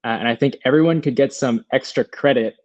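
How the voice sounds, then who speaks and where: A man's voice: mid-range voice, brisk, a little mellow, no echo. A young man casually hosting an online panel over a video call with dull audio. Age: young adult. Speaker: host, festival moderator. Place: room at home, video call.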